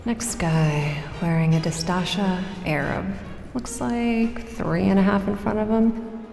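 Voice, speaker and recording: A woman's voice: medium pitch, fairly even intonation, slow pace, vocal fry, darkish tone, faint music behind. An actress playing a shrewd, cool-headed woman gambler, muttering clipped, calculating asides in an audio drama. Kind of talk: audio drama acting, inner monologue. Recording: roomy, echoing hall reverb.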